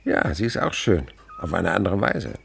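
An actor playing a kindly older naturalist in a radio play, talking in a gentle, musing storyteller's way. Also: male voice, low-pitched, expressive, normal speed, gravelly, husky, exaggerated voice. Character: kindly older French naturalist, widower, indulgent with a boy. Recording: faint background noise, night insects chirping. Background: crickets and animal sounds.

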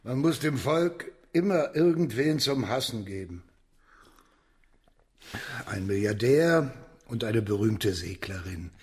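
A man's voice: mid-range voice, lively intonation, takes it slowly, pauses often. An actor playing a cynical, subversive man in a radio drama, holding forth in a sardonic, lecturing manner. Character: cynical, subversive man, theorizing mentor type. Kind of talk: German radio drama acting.

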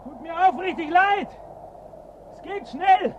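Gruff working man, curt, sardonic, suspicious, radio play delivery, dull recording. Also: extremely high pitch, slow pace, background noise. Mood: defensive. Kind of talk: German radio play acting.

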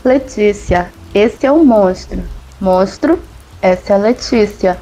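A woman's voice: medium pitch, slow, dark tone, poor audio. A woman playing a wry, teasing friend in an audio drama. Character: teasing female friend making introductions.

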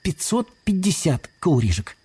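A man's voice: on the high side, sing-song, unhurried, a little mellow, slightly rough, voice pushed up, exaggerated voice. A man voicing an earnest, naive little boy chameleon in a storybook reading, with a childlike, pleading manner.

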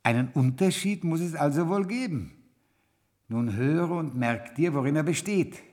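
A man's voice: fairly high pitch, animated, unhurried, a little mellow. A shrewd old troll king. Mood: lecturing. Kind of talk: classic radio play acting.

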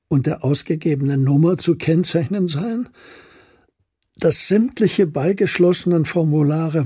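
An actor playing a reflective scholar retracing his past, recounting it with precise, unhurried melancholy in a literary radio drama. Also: fairly high pitch, mellow, husky, no echo, clean studio sound.

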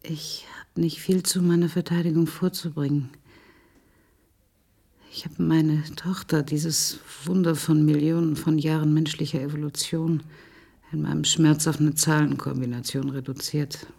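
Husky, low-voiced grieving scientist mother. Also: level tone, dark tone, heavily acted. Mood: remorseful. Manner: halting, emotional, pleading. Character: grieving scientist mother, tender, obsessive, pleading. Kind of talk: German radio play acting.

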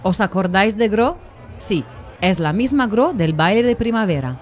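A woman's voice: medium pitch, expressive, moderate pace, over music, a crowd in the background. A woman reading scripted story narration, addressing the listeners directly like a storyteller.

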